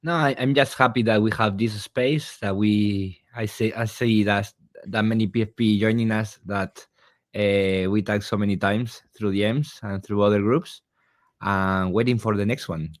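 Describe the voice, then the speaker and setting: Low-voiced man, casual guest. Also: fairly even intonation, moderate pace, dry recording. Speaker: guest speaker in online space. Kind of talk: casual, unscripted talk.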